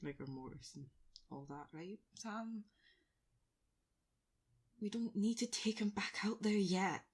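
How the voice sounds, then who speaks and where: Female survivor, audio drama: husky, slow-paced, caring, sweary, quick to panic. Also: mid-range voice, expressive. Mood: uneasy, indignant. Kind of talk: naturalistic audio drama acting.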